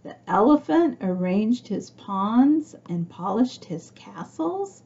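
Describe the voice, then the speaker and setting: A woman's voice: medium pitch, vocal fry, darkish tone, slightly rough, lo-fi sound. A woman reading a picture book aloud to young children, expressively, with chatty asides and questions for her listeners. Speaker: storybook reader for young children.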